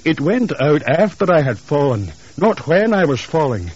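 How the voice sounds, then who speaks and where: A man's voice: a little high, sing-song, normal speed, husky, highs cut off, voice pushed up. A man voicing a shaken, insistent husband in a ghost story, earnest and nervy. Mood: insistent, defensive.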